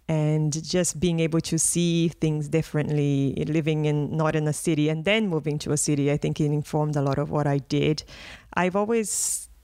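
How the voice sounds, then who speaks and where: A woman's voice: deep voice, darkish tone, no echo, studio quality. A middle-aged woman from Brazil with a Brazilian accent mixed with South London, chatting as an interview guest, laughing often and restarting her sentences. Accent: Brazilian with a South London mix. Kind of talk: casual interview chat.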